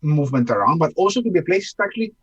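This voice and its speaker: A man's voice: fairly high pitch, big pitch swings, very fast, breathy edge, lo-fi sound. A man from Greece with a Greek accent, co-hosting a livestream and explaining worldbuilding in a casual way.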